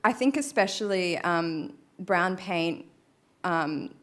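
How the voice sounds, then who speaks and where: Woman, lowish voice, chatty, frank panel guest. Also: lively intonation, slow pace. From Australia. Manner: chatty, frank, many fillers. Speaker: guest artist. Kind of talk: panel discussion.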